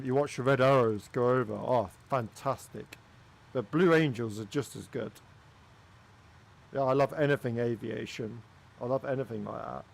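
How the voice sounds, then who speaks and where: A man's voice: mid-range voice, gravelly. A man from Wales with a Welsh accent, giving casual, chatty commentary while flying a flight simulator. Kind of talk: casual gaming commentary with chat.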